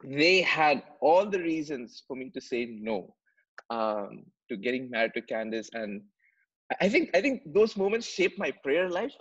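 A man's voice: mid-range voice, very animated, a little slow, a bit bright, breathy edge. A man in his thirties from Dubai, a podcast guest telling his story earnestly over a video call, often repeating words as he searches for phrasing. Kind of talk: conversational storytelling. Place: home room with plants and shelves. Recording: slightly muffled video call audio.

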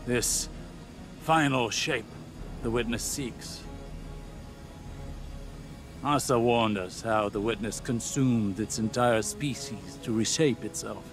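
An actor playing a grave, solemn male leader speaking as a hologram in a video game, with slow, measured delivery. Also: mid-range voice, over music.

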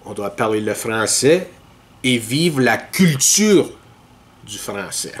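A man, talking slowly and deliberately through an opinion monologue. His delivery is earnest and measured, with a mid-range voice. He speaks straight into a desk webcam in a small curtained room. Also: medium pitch, lively intonation, a little hiss or hum. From Ontario, Canada. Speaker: podcast host.

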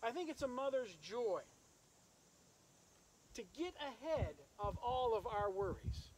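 A man, a minister, speaking solemnly and with pauses at a graveside service, reading scripture, praying and preaching outdoors. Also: very high voice, lively intonation, a little mellow, poor audio. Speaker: minister officiating a funeral. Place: outdoors in an open rural cemetery. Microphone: camera several metres away. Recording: outdoor recording with insect and nature noise.

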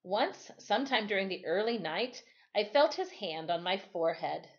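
A middle-aged woman reading a children's novel aloud in a measured, expressive storytime style at home. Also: medium pitch. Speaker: storytime reader. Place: small furnished room at home. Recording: slightly dull, lo-fi webcam sound.

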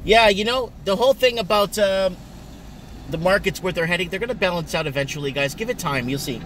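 A middle-aged man, a native Portuguese speaker, talks to a dashboard camera in his car, his voice sitting a little high for a man. He rambles, restarts sentences and piles on fillers as he chats. Car and street noise run clearly behind him. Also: lively intonation, fairly quick, a bit bright, far from the mic. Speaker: vlogger. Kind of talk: casual chat while driving. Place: parked and driving car.

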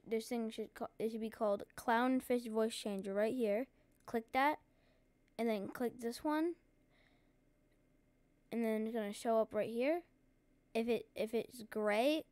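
A woman presenting a casual software tutorial, giving informal, halting step-by-step instructions. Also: fairly high pitch, clean tone, dry recording.

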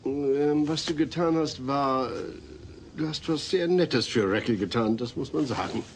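A man's voice: mid-range voice, a little slow, slight background noise, natural voice. An actor playing a mild, flustered middle-aged family father in a German comedy radio play, hesitant and good-natured. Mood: hesitant, polite.

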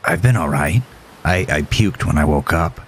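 A man voicing a polite, uneasy patient who speaks haltingly in a horror story. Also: deep voice, a little hiss or hum. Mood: uneasy, guarded.

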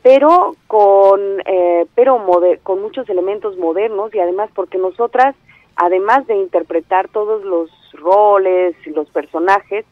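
A woman's voice: medium pitch, a little slow, dry recording. A woman from Andalusia with a Mexican-tinged Spanish accent, directing a theatre company and speaking enthusiastically over the phone with many fillers and restarts. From Spain, Andalusia. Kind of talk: phone interview. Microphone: telephone. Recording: muffled phone line.

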